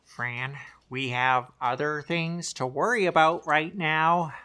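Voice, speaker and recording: A woman's voice: deep voice, takes it slowly, sharp tone, smooth, full character voice. An actress playing an exasperated, officious female copy assistant in a comedic audio drama, dry and pedantic.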